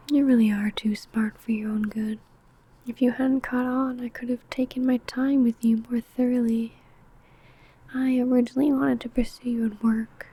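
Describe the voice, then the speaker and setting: A woman playing a seductive, possessive female vampire who stalks her prey in an intimate ASMR roleplay, teasing and menacing in a breathy, often whispered voice. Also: fairly high pitch, dark tone. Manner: slow, teasing, menacing, deliberate.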